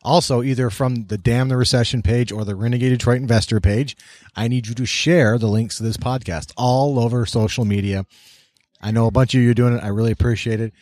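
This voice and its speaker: A man's voice: medium pitch, steady tone, fairly quick, a little mellow, no echo, studio quality. A man co-hosting a podcast, addressing listeners informally and directly in short, punchy phrases. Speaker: podcast co-host.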